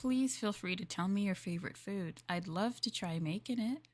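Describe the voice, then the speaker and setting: A woman's voice: medium pitch, normal speed. A woman streamer playing a maid in a roleplay, chatting with viewers in a playful, teasing and politely formal way.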